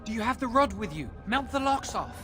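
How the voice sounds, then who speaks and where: Young male mage, high voice, urgent, uneasy, fantasy game, clear music behind. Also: sing-song, fairly quick. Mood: urgent, anxious. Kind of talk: fantasy video game acting.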